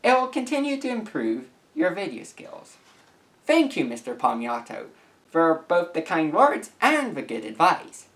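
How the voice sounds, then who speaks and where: Young man with swooping, lively intonation. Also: fairly high pitch, unhurried. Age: late teens. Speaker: video creator. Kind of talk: casual vlog, reading messages aloud.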